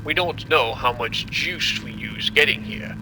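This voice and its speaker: A man playing a formal, measured scientist who explains a disaster in an audio drama. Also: medium pitch, noisy background, natural voice. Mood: grave, deliberate. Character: apologetic, condescending male scientist explaining a disaster.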